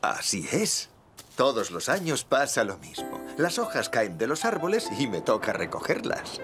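A man playing a patient, playful father in a children's cartoon dub, gentle and good-humoured, with a Castilian Spanish accent. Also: mid-range voice, wildly swooping pitch, moderate pace, music in the background. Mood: weary. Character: patient, playful young dad of a small boy.

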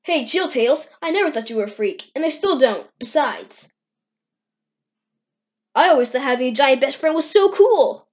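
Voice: high voice, expressive, normal speed, voice pushed down. A performer voicing a cocky, teasing young male hedgehog with slangy talk in an amateur cartoon comic dub. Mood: reassuring. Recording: dull, lo-fi screen-recorded sound.

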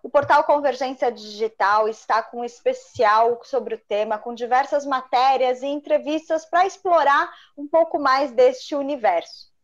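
A woman's voice: sits high, moderate pace, hardly any pauses. A woman host interviewing a guest over a video call, asking her questions fluently. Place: home office with bookshelves, indoors. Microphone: earphone headset on video call. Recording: dull lo-fi call audio.

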